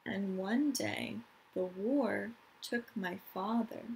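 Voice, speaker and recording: A woman's voice: medium pitch, slow, vocal fry, darkish tone, breathy edge, low-quality recording. A woman, a teacher of young children reading aloud and explaining things simply, stressing key words. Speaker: elementary school teacher.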